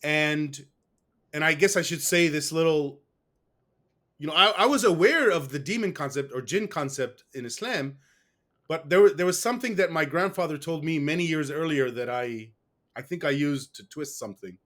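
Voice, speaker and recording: A man's voice: fairly high pitch, animated, moderate pace, fairly bright tone. A man being interviewed, telling a long personal story in a rambling, casual way. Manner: rambling, with restarts and fillers. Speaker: guest. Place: West.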